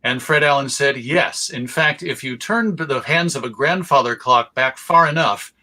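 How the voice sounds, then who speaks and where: An American man giving an informal online talk as a presenter, halting, courteous and drily humorous. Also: mid-range voice, sharp tone. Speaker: presenter of a radio history talk. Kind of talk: informal lecture to an online audience.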